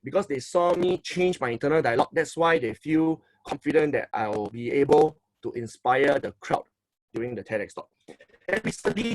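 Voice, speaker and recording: A middle-aged man with a Singaporean English accent gives an emphatic, fairly high-pitched seminar talk, recorded close and dry. He keeps repeating phrases and tagging lines with "okay?" as he coaches his listeners. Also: dry recording, poor audio. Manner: emphatic, repeats phrases, asks for likes and applause; tags sentences with "okay". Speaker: motivational speaker and coach.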